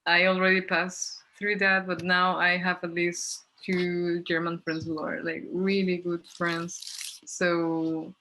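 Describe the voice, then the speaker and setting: A young adult woman chats casually about personal matters. Her voice is a little low for a woman, with a bright, sharp edge, and her phrasing is loose and informal. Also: little pitch movement, slow, few pauses, slight background noise, rough recording. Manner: informal, peppered with "like".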